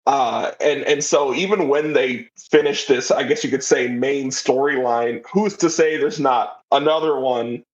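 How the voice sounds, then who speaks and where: A man's voice: mid-range voice, steady tone, unhurried, hardly any pauses. A man speaking casually as an interview guest, a writer chatting about his work, on slightly dull, lo-fi audio.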